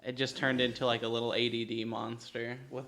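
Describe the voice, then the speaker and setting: A man's voice: mid-range voice, flat delivery, brisk, a bit bright. A man co-hosting a casual chat about tabletop role-playing, explaining things in an informal, meandering and slightly hesitant way. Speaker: podcast co-host.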